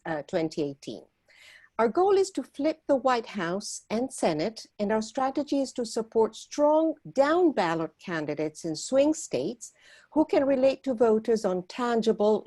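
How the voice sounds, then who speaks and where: A woman from Argentina, a volunteer organizer, giving an earnest prepared talk at a meeting and pausing often on fillers. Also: on the high side, dark tone. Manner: earnest, persuasive, with frequent filler pauses.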